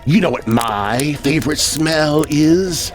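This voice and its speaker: Man voicing a slow, sinister witch. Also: a little high, expressive, soft background music. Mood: sly, gloating. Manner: slow, drawn-out menace. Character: sinister child-eating witch woman, sly and menacing.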